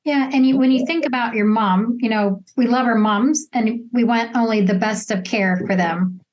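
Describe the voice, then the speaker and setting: A woman's voice: fairly high pitch, moderate pace, a little mellow, dull audio. A woman hosting a conversational interview, asking questions and commenting. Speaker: interview host.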